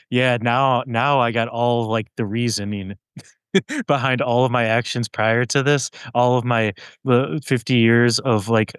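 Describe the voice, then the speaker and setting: A man with a smooth, clear voice co-hosting a casual podcast, recorded dry and close. He laughs a lot and gushes about what he loves, swearing casually. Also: mid-range voice, no room sound. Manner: laughs often, animated and enthusiastic, swears casually. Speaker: podcast co-host. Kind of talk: casual chat about an album.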